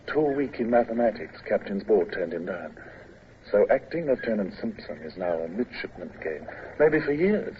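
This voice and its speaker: Brief: a frank shipmate giving advice, vintage radio drama sound. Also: male voice, lowish voice, sing-song, moderate pace. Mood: confiding. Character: friendly young male midshipman, frank and helpful. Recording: lo-fi old radio broadcast with hiss.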